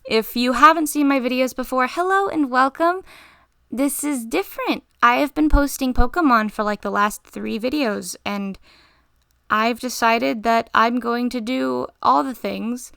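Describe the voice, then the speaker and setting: A tired young woman with a slightly raspy voice, rambling casually in a voice-over while she draws, interrupting herself and giggling. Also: fairly high pitch, measured pace, sharp tone, dry recording, clean studio sound. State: tired, short on sleep. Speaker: art channel host.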